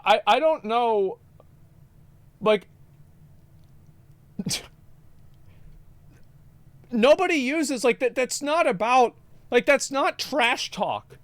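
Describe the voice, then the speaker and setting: A man's voice: very high-pitched, dry recording. A man streaming, reacting to videos in rambling, profane casual commentary, often stammering and repeating words.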